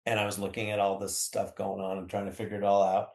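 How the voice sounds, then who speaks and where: A man's voice: on the low side, completely flat delivery, fast talker, darkish tone. Echoey American man chatting casually. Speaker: podcast guest. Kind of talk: casual chat, recounting. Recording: roomy, echoey sound.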